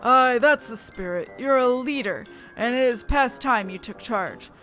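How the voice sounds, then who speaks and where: Woman reading as a stately, encouraging noble mentor, bright-voiced. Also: a little high, a little slow, faint music behind. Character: steadfast, formal noble mentor stonemason encouraging pupils.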